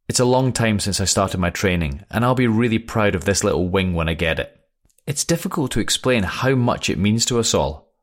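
A man reading as a young airman writing home. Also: lowish voice. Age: young adult. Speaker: letter reader. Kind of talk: reading a wartime letter aloud.

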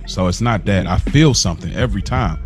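A man's voice: sits low, expressive, a little fast, over music. A man co-hosting a podcast, talking casually and reflectively, hesitant as he searches for his words. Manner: hesitant, searching, self-questioning. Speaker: podcast co-host.

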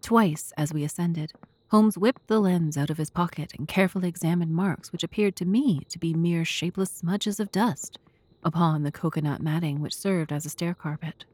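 A woman narrating a classic mystery audio drama in a measured, literary storytelling manner. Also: lowish voice, darkish tone, dry recording.

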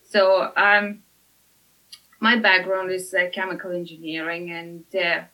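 A woman from Lithuania with a Lithuanian accent, speaking as a podcast guest with many halting "uh" fillers. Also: fairly low pitch, little pitch movement, sharp tone, rough recording. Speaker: master blender at a whisky distillery.

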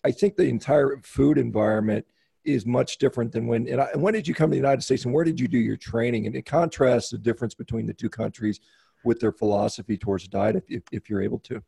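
Gravelly, fast-talking male interviewer. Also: medium pitch, mellow. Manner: halting, restarts questions often. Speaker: host interviewer. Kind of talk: casual interview questions.

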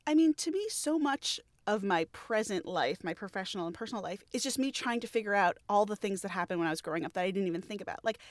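A woman's voice: mid-range voice, animated, fast talker, few pauses, no room sound, clean studio sound. A woman from New York in her thirties, a podcast co-host chatting in an interview, self-deprecating and laughing often. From New York, United States. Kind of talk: casual interview conversation.

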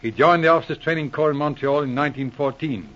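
An actor playing a shrewd, resolute military police inspector in a 1940s radio drama, with clipped, authoritative speech. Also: male voice, fairly high pitch, fast talker, fairly bright tone, close to an everyday voice. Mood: firm, commanding. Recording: muffled old radio recording.

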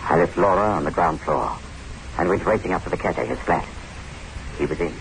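An actor playing a concerned, hurried friend rushing to help, stammering a little, in a radio suspense drama. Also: male voice, low voice. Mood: urgent. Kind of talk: 1960s radio horror drama acting. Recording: noisy old radio recording.

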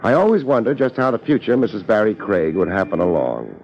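A dull old radio recording carries a tough American private eye. His voice is low and swings freely in pitch. He is laid-back and wry, tossing off hard-boiled slang in a 1950s detective drama. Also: lively intonation, a little fast, gravelly, a little mellow. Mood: wry, intrigued.

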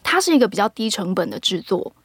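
Female audio drama producer, Taiwanese accent, medium pitch, earnest explainer, dry close sound. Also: big pitch swings, quick pace, husky, no room sound. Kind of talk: casual explanatory interview talk.

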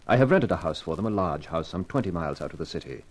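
An actor playing a suave, exacting Arabian sheik with formal, measured, precise speech in a 1950s radio drama. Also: male voice, deep voice, lively intonation, breathy edge. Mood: smooth, formal.

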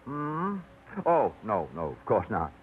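Brief: a timid library head, hemming and hawing, lively pitch swings, tinny old radio sound. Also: male voice, takes it slowly. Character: fussy, timid small-town library head. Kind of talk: 1950s radio drama acting. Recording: lo-fi 1950s radio broadcast, faint hiss.

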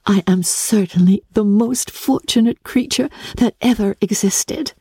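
A woman voicing a gentle, joyful, tender-hearted young Regency gentlewoman in an earnest, effusive way for an audiobook. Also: mid-range voice, a little slow, breathy edge, dry recording, studio quality, voice pushed up. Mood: joyful, breathless.

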